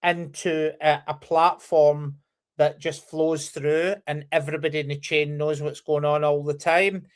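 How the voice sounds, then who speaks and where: A man's voice: on the high side, flat delivery, a little slow. A man in his forties from near Glasgow, with an anglicised Scottish accent, talking casually as an interview guest, with lots of ums and easy laughs. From Scotland, near Glasgow. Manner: chatty, frequent ums, laughs easily. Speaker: guest, company founder.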